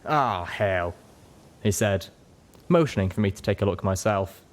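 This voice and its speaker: A man's voice: on the low side, expressive, fairly quick, darkish tone. A man reading a scripted first-person adventure story as an audiobook narrator. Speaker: audiobook reader.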